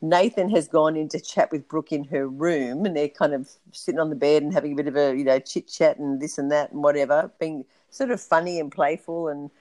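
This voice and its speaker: A woman with a notably low voice talks through a recap in a relaxed, rambling manner, filling pauses with ums. The sound is close and dry. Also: no room sound. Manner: rambling, lots of ums and asides. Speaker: podcast co-host. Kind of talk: casual recap chat.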